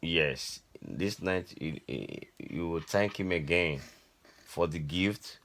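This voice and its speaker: A man's voice: sits low, takes it slowly, gravelly, a bit bright. An older man with a Nigerian accent giving informal Bible teaching to family in a repetitive, exhorting way. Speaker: grandfather teaching a Bible story.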